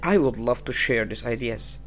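A man's voice: mid-range voice, a bit bright, faint music behind. A man from Egypt who is not an English speaker hosts a podcast, giving a motivational religious talk with plain, repeated phrases. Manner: unhurried, repeats phrases, non-native English. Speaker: podcast host. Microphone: small low-cost podcast setup.

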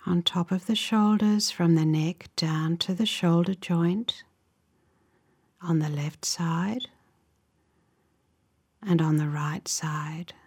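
A woman guides a relaxation meditation with a soothing, gentle manner. Her voice is fairly low and breathy, often close to a whisper. She takes her time, delivering each short instruction slowly and evenly.

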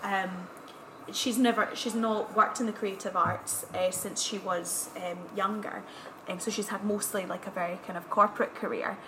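A woman's voice: mid-range voice, steady tone, normal speed, noisy background. A woman hosting a casual interview, encouraging and laughing along with her guest. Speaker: interviewer, host.

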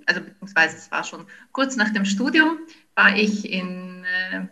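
A woman's voice: mid-range voice, bright, forceful tone. Ukrainian-born woman researcher, thoughtful. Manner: thoughtful, many fillers and restarts. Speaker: linguistics researcher. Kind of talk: interview answers.

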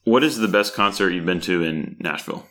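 A man hosting a podcast, reading a scripted intro and asking polite, measured questions. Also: sits low, bright, forceful tone. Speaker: podcast host.

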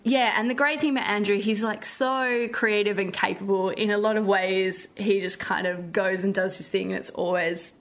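Aussie woman director chatting in an interview, upbeat and informal, medium pitch. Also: fairly even intonation, normal speed, hardly any pauses, fairly bright tone. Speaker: theatre director being interviewed. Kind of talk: casual interview chat.

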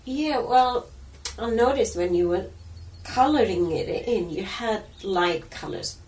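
A woman from Iceland in casual chat, gently asking about a drawing with hesitant phrasing. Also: mid-range voice, expressive, slow. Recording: distant mic with faint background noise.

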